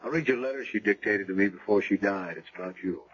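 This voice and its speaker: Low-voiced, solemn male chaplain. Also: husky. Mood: hushed, grave. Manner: gentle, measured. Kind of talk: radio drama acting. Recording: dull old radio broadcast with music.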